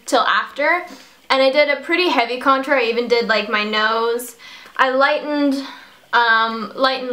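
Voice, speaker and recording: High-voiced young woman, slightly sick, casual. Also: sharp tone. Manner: breezy, slangy, informal. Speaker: makeup tutorial host. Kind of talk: casual how-to tutorial narration.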